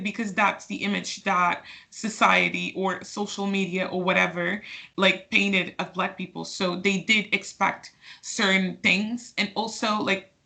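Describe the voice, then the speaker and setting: A woman's voice: medium pitch, creaky, bright, forceful tone. A woman in her twenties from Portugal, speaking casually as an interview guest, with many fillers and restarts. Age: 20s.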